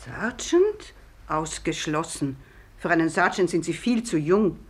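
An actress playing a stern, haughty, complaining middle-aged hotel guest, disdainful and clipped, in a 1950s radio play. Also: female voice, low-pitched, expressive, a little slow, husky, exaggerated voice. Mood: scornful. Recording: noisy old archive radio recording.

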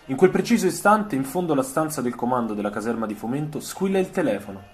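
A man's voice: medium pitch, expressive, fast, soft background music. A man narrating a historical story for radio in a literary, scripted storytelling style. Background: soft musical soundtrack.

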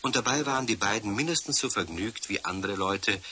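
A male actor as a calm storyteller narrating a children's radio play. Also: fairly low pitch, a little fast. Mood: calm, amused. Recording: faintly hissy old radio recording.

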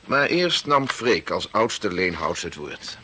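A man's voice: low-pitched, big pitch swings, takes it slowly, sharp tone, slight background noise, heavily acted. An actor playing an ageing family man who reflectively narrates his clan's chronicle in a 1960s radio drama. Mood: wryly reminiscing. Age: older. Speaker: narrator in character.

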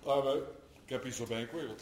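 A man acting a gruff older father from the mountains, with a Trentino accent and dialect-laced, terse talk, in a staged theatre drama. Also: mid-range voice, steady tone, moderate pace, natural voice. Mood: teasing, proud. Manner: dialect-laced, teasing then withdrawn and terse. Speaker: stage actor. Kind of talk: theatre drama broadcast on radio. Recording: roomy stage sound with faint noise.

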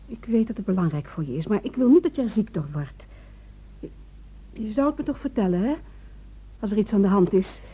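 An actress playing a worried, loving wife, tender and probing, in a radio play. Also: female voice, medium pitch, animated, fairly quick, pauses often, husky. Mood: tender, concerned. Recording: dull, lo-fi old radio recording.

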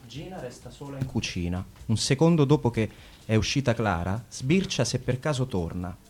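A man's voice: medium pitch, lively intonation, moderate pace, darkish tone, slightly rough. A man narrating the stage directions of a radio play in a plain, neutral reading.

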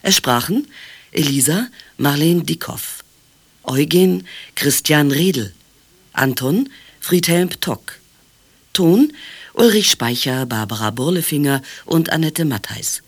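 A radio announcer delivers scripted credits in a measured, formal way. The pace is slow and the intonation lively. The voice has a husky edge. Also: medium pitch, no room sound.

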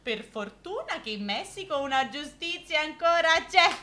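A woman's voice: high-pitched, very animated, moderate pace, pushed and bright, full character voice. A woman playing a garrulous, indignant, scolding female servant in a radio play. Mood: indignant, gossipy. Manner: garrulous, scolding, emphatic, talks over others. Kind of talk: Italian radio theatre acting.

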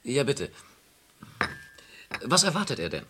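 Investigator, vintage radio crime play: unflustered, courteous but firm, very mobile intonation. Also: male voice, mid-range voice, wildly swooping pitch, measured pace, sharp tone, slightly rough, heavily acted. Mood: calm, dry. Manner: polite but firm, explanatory. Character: unflustered, matter-of-fact male investigator. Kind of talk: old German radio crime drama acting.

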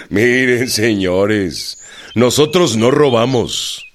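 A man's voice: mid-range voice, animated, drawn-out words. A man acting in a radio drama as a blunt, defiant, folksy Mexican revolutionary general, plain-spoken and given to grunts. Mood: amused, defensive.

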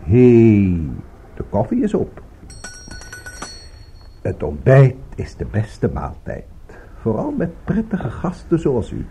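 Radio drama villain: courteous, coolly menacing gang boss, mellow tone, lively melodic delivery. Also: male voice, mid-range voice, highs cut off, slight background noise, heavily acted. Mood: smoothly menacing. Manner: polished, falsely courteous, unhurried. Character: suave male crime boss, coolly menacing, courteous host. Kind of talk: Dutch radio drama acting.

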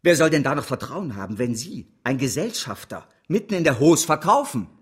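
A husky, scornful, embattled banker. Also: male voice, medium pitch, sing-song, fairly quick. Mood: angry, accusing. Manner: biting, sarcastic, contemptuous. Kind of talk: German radio drama acting.

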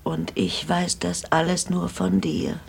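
A woman playing an anxious, frightened old grandmother in a German radio play, her voice often sinking toward a breathy half-whisper. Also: fairly low pitch, big pitch swings, unhurried, full character voice. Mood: uncertain.

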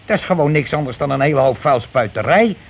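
An actor playing a blunt, self-righteous working-class husband in a radio play, grumbling, bossy and rather pompous. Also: male voice, mid-range voice, lively intonation, quick pace, creaky, breathy edge. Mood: indignant. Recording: noisy old radio play recording.

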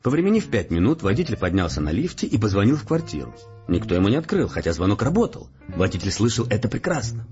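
Low-voiced, animated, officious investigator. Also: male voice. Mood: officious, methodical. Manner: deliberate, mock-courteous, officious, recites facts like a report. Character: Igor Petrovich, male police investigator, smoothly menacing, officious, insinuating. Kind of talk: Russian audio play acting. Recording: dull-sounding audio play with background music. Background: music.

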